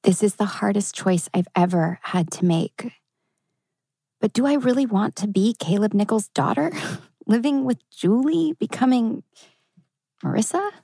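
A woman's voice: medium pitch, lively intonation, unhurried, creaky, warm tone, no room sound. An actress playing a tender, torn teenage girl in a table read of a TV drama. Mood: sad, earnest.

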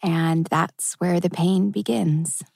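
A woman's voice: lowish voice, steady tone, takes it slowly, creaky, a little mellow, no room sound, clean studio sound, heavily acted. A woman acting an anxious, wry young woman at a teen drama table read. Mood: wry, uneasy.

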